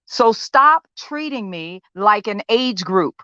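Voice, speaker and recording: A woman's voice: mid-range voice, animated, slow, sharp tone, no room sound. Woman performing desperate female patient, defiant, emphatic, dramatic monologue, dry close sound, dull lo-fi call audio. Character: desperate depressed woman angrily confronting her therapist.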